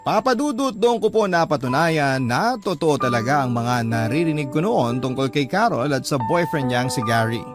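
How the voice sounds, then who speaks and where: An actor with a Filipino accent playing a young letter writer, narrating his love story in a sincere, measured, confiding way. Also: on the high side, expressive, over music, close to an everyday voice. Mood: earnest, troubled. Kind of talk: first-person scripted dramatic narration.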